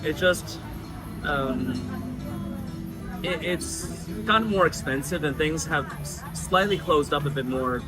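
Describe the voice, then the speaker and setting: A man from the United States, a guest chatting casually in an interview, relaxed and full of fillers. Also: a little high, slow, over music, poor audio. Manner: relaxed, frequent fillers and laughs.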